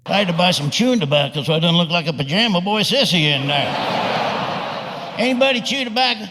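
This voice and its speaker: A man's voice: high-pitched, expressive, a little fast. A man talking casually in a played clip. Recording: very noisy, long reverberant room.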